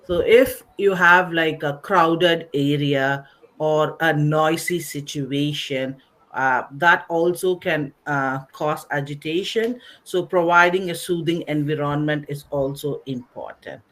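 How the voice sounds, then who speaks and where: A woman presenting a slide-based nursing lecture, explaining in short phrases with pauses in a didactic way. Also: sits low, a bit bright. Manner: didactic, recapping, pausing between short phrases. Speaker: webinar presenter, nursing educator.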